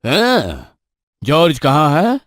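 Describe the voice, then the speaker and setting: An actor playing a bossy, mocking young tough in a horror audio story, scolding and taunting in street slang. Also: male voice, on the high side, huge pitch swings, slow pace, no echo, studio quality, exaggerated voice. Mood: sceptical.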